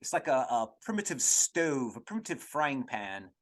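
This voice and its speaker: A man hosting a comedy podcast, rambling through an irreverent, profane monologue in a halting, digressive way. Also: mid-range voice, sing-song. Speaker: podcast host.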